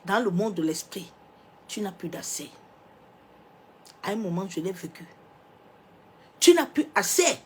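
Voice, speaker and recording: A woman pastor with a cold, preaching a sermon. She swings from loud, emphatic declarations to soft, near-whispered asides and drops little rhetorical interjections as she goes. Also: medium pitch, husky. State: has a cold, coughing.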